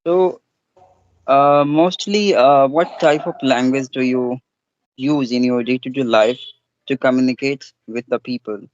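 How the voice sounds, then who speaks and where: A man's voice: mid-range voice, fairly even intonation, dry recording. Indian man on a dull, dry line. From Bihar, India. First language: Hindi. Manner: careful, non-standard grammar, halting. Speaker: schoolteacher. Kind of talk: casual conversation practice.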